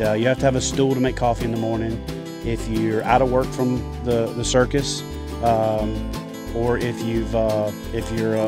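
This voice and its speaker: A middle-aged man talks to camera from a kayak out on a lake, easygoing and full of jokes. His voice sits in an ordinary middle register, and guitar music plays under him the whole time. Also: medium pitch. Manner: joking, easygoing. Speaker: fishing show host. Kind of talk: casual on-camera talk from a kayak. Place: outdoors on a wooded lake, seated in a kayak.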